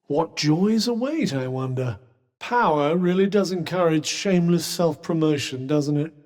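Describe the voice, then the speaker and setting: A man's voice: on the high side, in a large hall. An actor playing a wry, teasing male magic cuff in a game, speaking in a well-spoken, sardonic way. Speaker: game companion character. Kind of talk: game voice lines.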